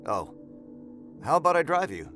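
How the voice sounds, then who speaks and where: Man playing pushy lovesick guy, soft hushed delivery, coaxing, insistent, radio-play style, music underneath. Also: fairly high pitch, sing-song, moderate pace. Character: clingy, insistent young man pursuing a woman. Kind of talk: audio drama acting.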